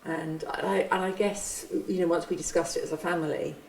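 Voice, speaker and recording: Gravelly woman, roomy and distant. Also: fairly low pitch, fairly even intonation, a little hiss or hum. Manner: earnest, halting with restarts. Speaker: church member and refugee host. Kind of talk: reflective testimony.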